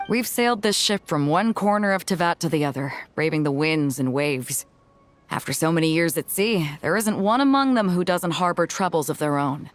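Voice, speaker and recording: Woman acting as hearty female sea captain, very lively intonation, breezy confidence, game dialogue, music behind. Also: fairly low pitch, normal speed, lightly acted. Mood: friendly, persuasive. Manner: breezy, confident, conversational with chuckles. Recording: music bed under the voice.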